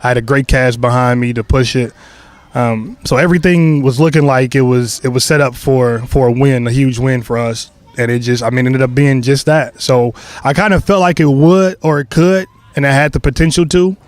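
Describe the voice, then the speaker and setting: Young male playwright, casual and rambling, filler-heavy, mid-pitched everyday voice. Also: moderate pace, dry recording. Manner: relaxed, loose, full of fillers and restarts. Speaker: playwright and creator of a stage play. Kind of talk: casual interview answers.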